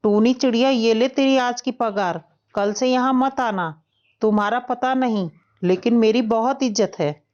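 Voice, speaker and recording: Woman voicing a self-important parrot village headman, lower-toned. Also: a little slow, darkish tone, muffled recording, lightly acted. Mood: indignant.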